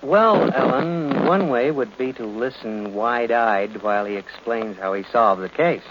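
A man's voice: mid-range voice, unhurried, lightly acted. Breezy wisecracking radio sidekick played by a man, muffled recording. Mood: teasing. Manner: breezy, wry, slangy. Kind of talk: old-time radio mystery acting. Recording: dull, noisy vintage radio recording.

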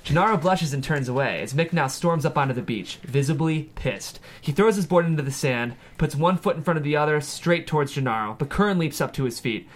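A man's voice: fairly high pitch, expressive, a little fast, hardly any pauses. A man reading screenplay stage directions aloud at a table read, with dramatic scripted narration.

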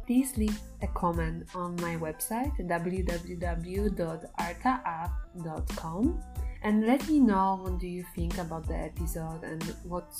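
A woman with a fairly low voice hosts an art podcast. She reads her introduction in a steady, scripted way and then asks her guest questions, pausing with fillers. Faint music plays under her voice. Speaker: podcast host. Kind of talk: podcast interview.

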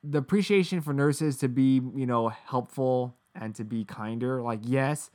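A man's voice: medium pitch, unhurried. A man co-hosting a podcast, telling a story in a casual, profane way and acting out the people he quotes. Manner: animated, profane, acts out quoted voices. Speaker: podcast co-host.

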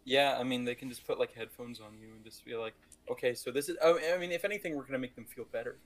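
A man's voice: medium pitch, fast. A man co-hosting a podcast in casual, joking banter with a playfully teasing manner. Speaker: podcast co-host.

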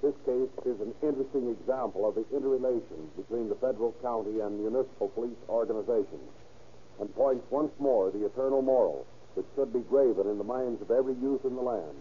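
Casting: male narrator, mid-range, solemn and deliberate moralizer, muffled old-time radio recording with faint hiss. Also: medium pitch.

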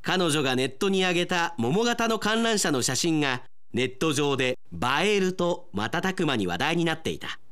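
A man's voice: on the high side, sing-song, normal speed, few pauses, a bit bright, smooth. A man reading dramatic scripted narration for a Japanese radio drama.

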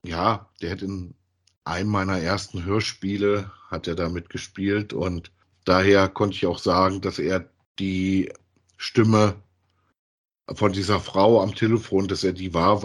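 A man's voice: low-pitched, husky. A man, chatting casually as a podcast co-host about audio dramas.

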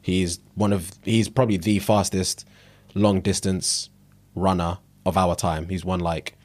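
A British man with a London accent and a fairly low voice, recorded very dry. He co-hosts a podcast in casual, slangy conversation, often repeating phrases to drive them home. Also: little pitch movement, measured pace, a little mellow, dry recording. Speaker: podcast co-host.

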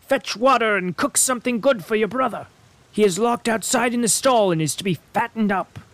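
A man voicing a wicked old witch who coaxes and then barks orders in a high, harsh voice. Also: fairly quick, fairly bright tone, dry recording. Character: wicked old witch woman, scornful, greedy.

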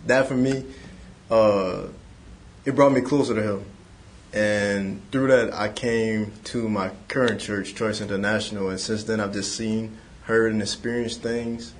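A twenty-five-year-old man co-hosting a talk, speaking in a measured way with frequent pauses. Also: fairly low pitch, a little hiss or hum. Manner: measured, pausing with "um". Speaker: podcast co-host. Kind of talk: casual faith conversation.

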